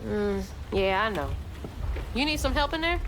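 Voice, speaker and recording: A woman's voice: a little high, animated, normal speed, noisy background. A woman playing a headstrong, frustrated teenage girl with an American Southern accent in a cinematic video game. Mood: friendly, frustrated.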